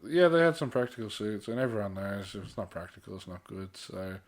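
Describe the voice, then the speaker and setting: A man with a fairly low voice, talking like a podcast co-host among friends. His speech is informal and slangy, with the odd mild swear word. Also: lively intonation, fast. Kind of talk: casual pop culture podcast chat.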